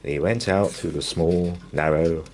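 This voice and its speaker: A man reading a bedtime story aloud to young children as a storyteller, with measured, drawn-out, expressive phrasing. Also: sits low, a little hiss or hum.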